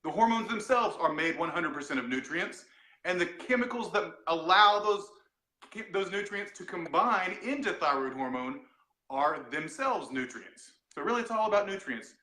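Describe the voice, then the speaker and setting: A man's voice: high-pitched, animated, bright, forceful tone, echoey room, rough recording. A man, a doctor, explaining health topics in a plain teaching tone from a home room on a video call. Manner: plain teaching tone, quick laughs. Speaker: doctor, guest expert. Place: home room with cushions for sound deadening.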